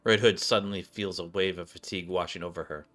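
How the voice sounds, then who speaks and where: A man's voice: low voice, steady tone, quick pace, a bit bright. Man reading game story over music. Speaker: streamer reading game narration aloud. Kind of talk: narration read-aloud with casual asides.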